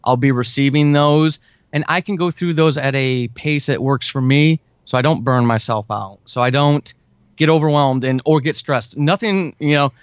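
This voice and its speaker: A man's voice: on the high side, moderate pace, clear, resonant tone, dry recording. A man in his forties talking casually and earnestly as an interview guest, with an enthusiastic manner. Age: 40s. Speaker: guest, fiction and audio drama writer. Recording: dull, lo-fi band-limited audio.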